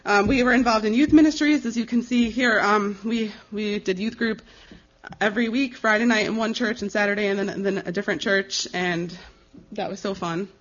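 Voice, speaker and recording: A young American woman speaking as a missionary, giving an informal slide presentation in a conversational, earnest and halting way. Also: mid-range voice, steady tone. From United States. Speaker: missionary giving a ministry report. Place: live talk before an audience. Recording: dull, slightly lo-fi recording.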